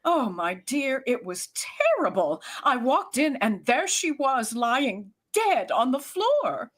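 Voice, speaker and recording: Fretful socialite mother, female actor, vintage radio mystery: gushing, animated, coaxing, melodramatic. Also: high-pitched, slow, full character voice. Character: dramatic, fretful society mother, wheedling and self-pitying. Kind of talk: 1930s radio drama acting.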